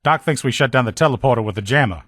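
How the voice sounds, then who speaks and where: A man's voice: medium pitch, lively intonation, racing, a bit bright, dry recording, studio quality. A man playing an upbeat, loyal army lieutenant and armor mechanic, with folksy, informal soldier talk, as video game dialogue. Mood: brisk, assured.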